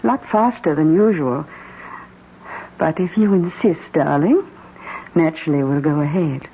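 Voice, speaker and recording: Coolly wicked accomplice, tinny recording. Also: female voice, low voice, animated, unhurried. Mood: coy, coolly sinister. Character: cold-blooded female accomplice, coolly greedy and coquettish. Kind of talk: old radio drama acting. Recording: tinny, hissy old radio recording.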